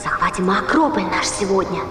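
A woman's voice: medium pitch, animated, a little fast, a bit bright, natural voice. An actress playing a bold, defiant ancient Greek woman rallying women against war, in declamatory, mocking verse. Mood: bold, scheming. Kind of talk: theatrical verse drama acting. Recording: echoey hall with music behind.

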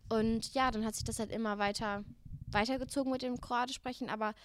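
A woman's voice: a little high, fairly even intonation, a little fast, clean tone, no room sound. An eighteen-year-old woman from Germany, co-hosting a podcast in a casual chat full of youthful slang. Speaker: podcast co-host.

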